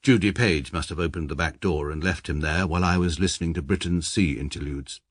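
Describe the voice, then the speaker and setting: A stiff, deadpan British barrister. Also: male voice, sits low, a little fast. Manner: formal, clipped, deadpan. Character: stiff, precise English barrister narrator, middle-aged man. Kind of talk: radio drama acting and narration.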